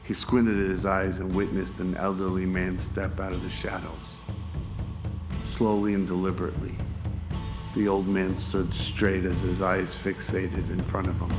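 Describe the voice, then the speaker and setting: Male drama narrator, very low register, scripted storytelling with clear music bed. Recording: music behind the voice.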